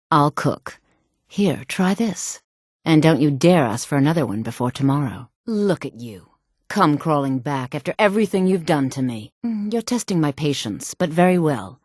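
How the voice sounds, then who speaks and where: A woman voicing a proud, secretive exiled noblewoman in a fantasy video game, with a sultry, velvety voice and measured, dramatic, formal delivery. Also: deep voice, a little mellow, no room sound, clean studio sound. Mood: teasing, affectionate.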